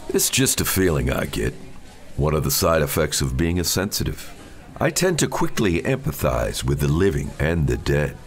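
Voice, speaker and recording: A man's voice: a bass voice, sing-song, normal speed, exaggerated voice. A man playing a smug, condescending self-styled psychic in an audio horror story, speaking with theatrical emphasis. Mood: smug, calm.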